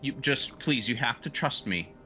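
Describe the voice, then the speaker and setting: A man's voice: medium pitch, animated, normal speed, sharp tone, faint music behind, voice pushed up. A man playing a desperate female sergeant in a tabletop game, firm but urgent and pleading.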